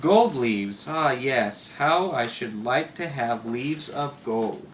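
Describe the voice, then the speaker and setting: A man's voice: medium pitch, lively intonation, slow pace. A man voicing a wistful, vain little pine tree who muses plaintively to himself in a storybook. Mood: dreamy longing. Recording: very noisy home recording with clicks.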